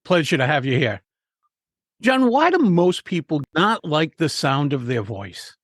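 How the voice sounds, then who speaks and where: A man hosting a public speaking podcast, reading a measured, clear introduction and asking his guest questions. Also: a little high, big pitch swings, fairly bright tone, no room sound, studio quality. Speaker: podcast host. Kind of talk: scripted introduction and interview questions.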